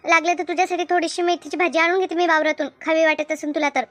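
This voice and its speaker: A woman's voice: very high voice, fairly even intonation, fast talker, fairly bright tone, no echo. A woman voicing a chatty, bossy yet easily hurt village woman in a cartoon comedy, with rustic, repetitive Marathi talk in a rural Maharashtra accent. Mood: friendly, directing.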